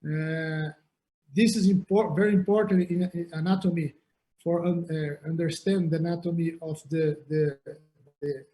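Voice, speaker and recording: A man with a Brazilian Portuguese accent lectures on anatomy over a video call, his voice a little high and soft-edged. He speaks haltingly, stumbling and repeating words as he searches for his English. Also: steady tone, a little hiss or hum. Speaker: surgeon lecturer. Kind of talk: online lecture.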